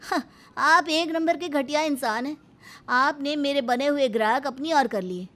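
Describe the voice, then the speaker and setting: Woman playing resentful younger brother, highish voice. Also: normal speed, a bit bright. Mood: resentful, angry. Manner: complaining, defiant. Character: resentful, aggrieved younger brother, adult man. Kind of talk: acted storybook dialogue.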